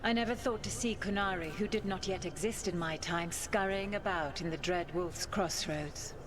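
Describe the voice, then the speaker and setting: A woman's voice: lowish voice, normal speed, close to an everyday voice. A woman voicing a proud, bitter ancient goddess spirit in a video game, speaking formally and with command. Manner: haughty, formal, commanding speech. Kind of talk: dramatic video game acting.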